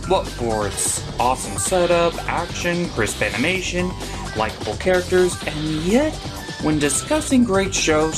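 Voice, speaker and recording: A man delivering scripted comedic review narration as a theatrical, opinionated show host. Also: on the high side.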